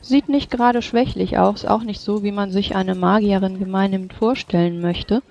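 A woman playing a tabletop role-playing game, describing her character with a creaky voice. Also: mid-range voice, fairly even intonation, normal speed, a little mellow, dull audio.

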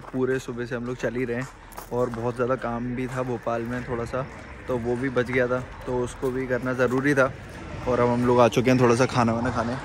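A young man from India, chatting upbeat in a road trip vlog and leaning on fillers like "matlab" and "yaar". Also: mid-range voice. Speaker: vlogger. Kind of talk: casual travel vlog chat. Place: inside a car on the highway and at an outdoor roadside eatery. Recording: road noise and outdoor hum behind.

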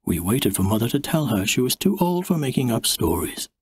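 A man narrating an audiobook in an expressive, dramatic storybook style. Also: mid-range voice, fairly quick, a little hiss or hum.

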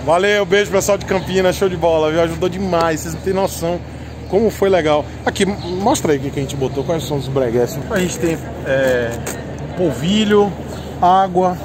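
A man's voice: sits high, moderate pace, background noise. A man in his thirties from Brazil chatting casually and joking with viewers while on a motorcycle road trip outdoors, recorded on an action camera. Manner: joking, laid-back, talks to viewers. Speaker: motorcycle vlogger. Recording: music playing behind.

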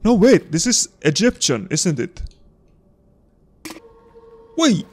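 A young adult man, a non-native English speaker, giving casual, excitable let's-play commentary, swearing and talking to viewers. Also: sits high, slow pace, slight background noise. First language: not English. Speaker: gameplay commentator.

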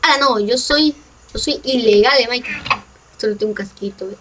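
A woman's voice: fairly high pitch, moderate pace. A young woman from Peru chatting and teasing casually while playing a game with a friend. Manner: playful, teasing, laughs a lot. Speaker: gaming channel host. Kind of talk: casual gameplay chat. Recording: noisy mic, voice from far.

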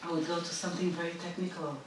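A woman moderating a stage talk, hoarse with her voice nearly gone. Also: fairly low pitch, little pitch movement, racing, far from the mic, background noise. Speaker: host and moderator. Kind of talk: stage conversation. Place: large theater stage with live audience. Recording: echoey stage, picked up from afar.

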